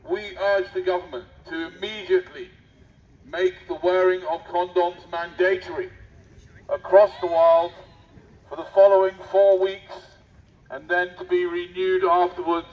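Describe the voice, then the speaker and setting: A British man giving a mock-serious, provocative public speech through a megaphone in a deadpan manner, addressing passers-by directly. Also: high voice, a little slow. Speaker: street speaker with megaphone. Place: outdoor public park. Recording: dull megaphone outdoors, distant, faint noise.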